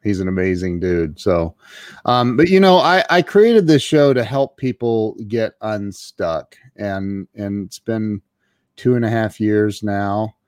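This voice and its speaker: A man's voice: fairly low pitch, expressive, takes it slowly, darkish tone, dry recording, studio quality. A man hosting an interview show, casual and enthusiastic, often repeating words as he goes. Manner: enthusiastic, repeats words and says wow a lot. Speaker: show host.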